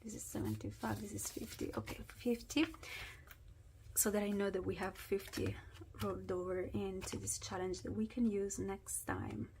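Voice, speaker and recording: A woman's voice: medium pitch, very animated, normal speed, creaky, background noise. A woman chatting casually as she sorts cash into savings challenges, her voice weak from a sore throat and sometimes dropping to a whisper. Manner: chatty, drops to whispers at times. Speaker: budgeting vlogger. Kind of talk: casual chat while doing cash envelope challenges.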